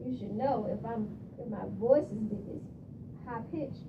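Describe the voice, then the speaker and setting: A woman in her forties on a radio show, talking about her marriage reflectively, with pauses and restarts. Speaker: guest on a talk show. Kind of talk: casual advice talk. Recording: distant muffled recording with rumble.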